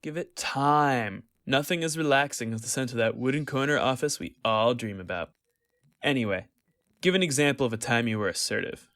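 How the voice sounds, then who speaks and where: An actor playing an eerily welcoming, unctuous corporate job interviewer in a radio play. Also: male voice, mid-range voice, brisk, a bit bright, no room sound, studio quality, lightly acted. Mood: smugly welcoming.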